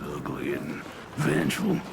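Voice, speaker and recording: An actor voicing a crippled, half-dead old coal miner in a video game, a wry guide with a gravelly, folksy, rambling drawl. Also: male voice, rumbling low, huge pitch swings, a little slow, over music, full character voice. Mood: weary, ominous. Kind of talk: character acting in a video game.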